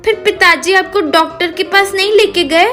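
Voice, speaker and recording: Very high, anxious little girl acted by a woman. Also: moderate pace, a bit bright, soft background music. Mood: worried. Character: anxious, caring nine-year-old girl. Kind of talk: Hindi children's storybook narration.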